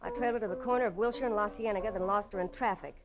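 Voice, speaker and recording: A woman's voice: medium pitch, racing, highs cut off, lightly acted. An American actress playing a tough, shrewd, guarded businesswoman in a late-1940s radio noir drama. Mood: hard, determined. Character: tough, shrewd woman silk merchant, a femme fatale client. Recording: vintage radio drama, faint music behind.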